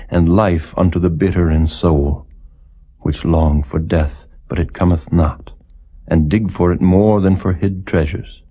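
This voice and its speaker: A suffering, steadfast man, acted out by a male reader, speaking in a deep, mellow voice. The delivery is solemn and rhetorical, like a lament in a scripture drama. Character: Job, anguished, steadfast man lamenting his birth.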